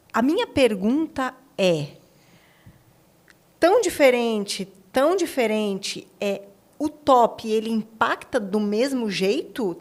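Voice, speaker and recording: Higher-voiced Brazilian woman hosting a podcast. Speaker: podcast host, interviewer. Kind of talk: conversational podcast interview.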